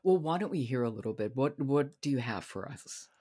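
A woman's voice: rumbling low, animated, fairly quick, mellow, slightly rough. A woman hosting a podcast, speaking in a conversational interview style as she prompts a guest. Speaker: podcast host.

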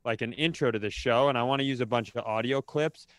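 A man's voice: medium pitch, fast talker, no echo. A man co-hosting a podcast, telling stories casually in a rambling, self-interrupting way with dry, self-deprecating humour. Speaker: podcast co-host. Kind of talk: casual comedic chat and storytelling.

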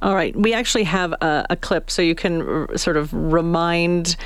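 A woman's voice: fairly low pitch, fairly even intonation, moderate pace, dry recording. A woman chatting casually as host of a live radio interview, close on a studio microphone. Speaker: radio morning show host.

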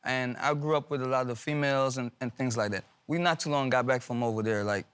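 A man, answering a judge defensively and drifting into long stories. He speaks at a middling pitch for a man. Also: brisk, fairly bright tone, clear, resonant tone. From Guyana. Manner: defensive, rambling. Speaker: litigant.

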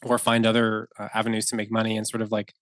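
A man's voice: on the low side, monotone, quick pace, fairly bright tone, no echo. A man in his thirties, a wedding photographer, chatting as a podcast guest, rambling with many fillers and restarts and laughing easily. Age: 30s. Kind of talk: casual podcast conversation.

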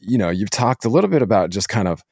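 A man hosting a casual podcast interview, asking meandering questions in a halting way. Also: fairly low pitch, big pitch swings, darkish tone, breathy edge, no echo, studio quality.

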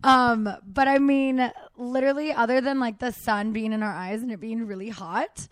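A woman's voice: a little high, steady tone, normal speed, no room sound, clean studio sound. A woman hosting a casual podcast chat from a house, bubbly and full of "like". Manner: bubbly, peppered with "like". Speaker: podcast host. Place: living room of a house.

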